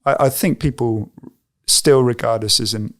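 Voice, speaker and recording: A man's voice: mid-range voice, moderate pace. A man working as a pastor, answering interview questions thoughtfully and hesitantly, with plenty of fillers and restarts. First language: English. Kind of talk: conversational interview.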